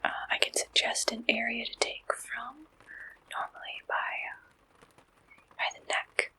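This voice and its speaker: Whispering woman in a bright ASMR roleplay. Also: a little high, fairly even intonation, measured pace, husky, low-quality recording. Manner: gentle, polite, attentive customer-service way of talking. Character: friendly, helpful female werewolf dating service clerk. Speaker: ASMR performer playing a dating service receptionist.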